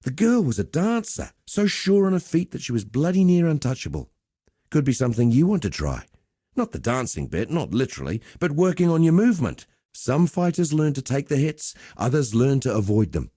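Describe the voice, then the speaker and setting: An actor playing a cheerful, rough-and-ready arena fight master in a video game, chatty and blokey. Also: male voice, medium pitch, sing-song, a little fast, mellow, no room sound, clean studio sound. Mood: proud storytelling. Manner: chatty, cocky, blokey storytelling with colloquial swearing. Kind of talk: video game character dialogue.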